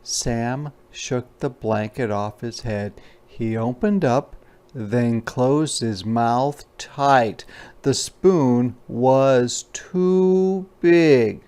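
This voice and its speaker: A man's voice: mid-range voice, drawn-out words, a little mellow, clean tone. A man reading a children's storybook aloud as a teacher, with informal, explanatory asides in simple words.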